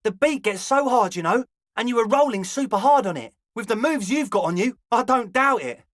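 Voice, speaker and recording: A man's voice: extremely high pitch, expressive, fairly bright tone, dry recording, studio quality. A male actor voicing a young, slangy club hustler in a video game, conciliatory and laid-back with a pleading manner. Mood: friendly, reassuring. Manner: slangy, placating, drawn-out pleading. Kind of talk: video game character dialogue.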